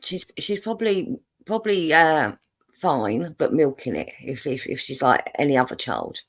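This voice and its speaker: English woman, low-ish voice, very lively pitch, rambling stream chat. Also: moderate pace. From England, born in London, raised in Buckinghamshire. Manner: rambling and chatty, full of fillers and restarts, laughs often. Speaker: live-stream host. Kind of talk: casual live-stream chat, reading viewer messages.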